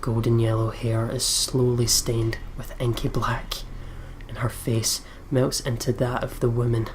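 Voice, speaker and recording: A man reading visual novel narration aloud as a let's player, dramatically, dropping to a hush at phrase ends. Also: mid-range voice, little pitch movement, unhurried, a little hiss or hum, low-quality recording.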